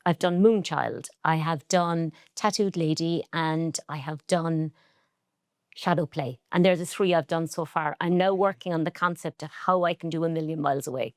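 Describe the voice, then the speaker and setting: A woman's voice: low voice, normal speed, no echo, clean studio sound. A middle-aged woman with a Cork Irish accent, a textile artist talking earnestly and reflectively in an interview. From Ireland. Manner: earnest, reflective, speaks with pride about heritage. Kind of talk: relaxed interview conversation.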